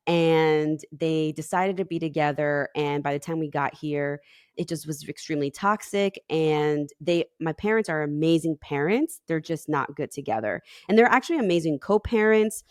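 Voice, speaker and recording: A woman's voice: low voice, normal speed, a little mellow, no room sound, clean studio sound. A woman from Peru, a relationship coach, telling her story in a casual podcast chat and laughing often.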